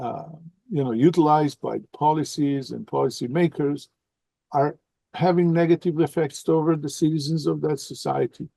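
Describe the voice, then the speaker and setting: A man in an online seminar discussion, talking in an animated way with rhetorical questions and some groping for words. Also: fairly high pitch, gravelly, no room sound. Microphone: video call.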